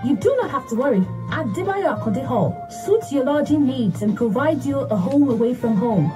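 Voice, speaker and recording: A woman's voice: high voice, expressive, moderate pace. A woman reading scripted radio advert narration. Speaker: advert voice-over. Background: music bed.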